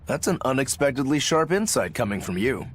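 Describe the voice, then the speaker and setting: A man's voice: medium pitch, big pitch swings, a little fast, over a noisy crowd, lightly acted. A man playing an easygoing, frank elder swordsman in a video game, casual and wry. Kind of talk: animated video game dialogue.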